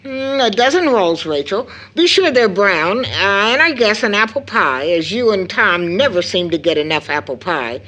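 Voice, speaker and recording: A woman's voice: medium pitch, sing-song, moderate pace, hardly any pauses, a bit bright, low-quality recording. A woman acting a tired, loving, anxious mother of two in an audio drama, with tender motherly endearments. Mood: fond, gently busy.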